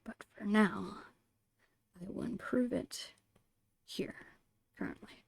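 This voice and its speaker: A woman whispering a casual, gentle ASMR drawing tutorial in a breathy, unhurried voice. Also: medium pitch, no room sound. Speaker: ASMR host and digital artist.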